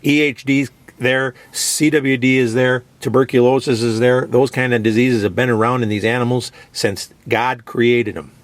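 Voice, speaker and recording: A man's voice: mid-range voice, fairly even intonation, moderate pace, hardly any pauses, dry recording. A man hosting a radio show, talking in an emphatic, opinionated, casual way and leaning on "you know" and "guess what". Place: radio studio.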